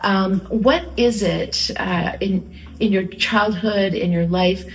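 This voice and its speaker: A woman's voice: mid-range voice, takes it slowly, vocal fry, poor audio. A woman hosting an interview, who asks her questions in a searching way and restarts them as she goes. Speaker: host.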